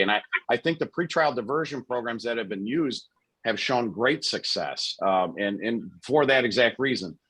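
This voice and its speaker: A man, a lawyer running for office, answering forum questions over a video call in a relaxed way with many you-knows. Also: fairly low pitch, rough recording. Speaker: lawyer, candidate.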